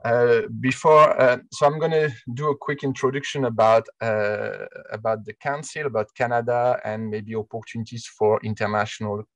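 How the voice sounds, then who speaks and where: A man from France with a clear French accent gives a talk over a video call. His delivery is hesitant and full of "uh" and "um". His voice sits at a middle pitch and sounds dry and close through his headset. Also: fairly even intonation, moderate pace, hardly any pauses, dry recording. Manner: hesitant, many "uh" fillers. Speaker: arts council presenter. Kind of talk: online presentation.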